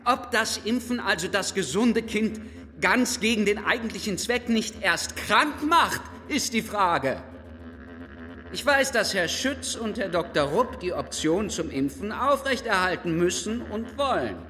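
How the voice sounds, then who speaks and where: A man's voice: sits high, expressive, unhurried, sharp tone, heavily acted. An actor playing a self-righteous doctor and parents' spokesman, declaiming emphatically in a pompous, admonishing stage manner. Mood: stern warning. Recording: reverberant hall, faint music behind.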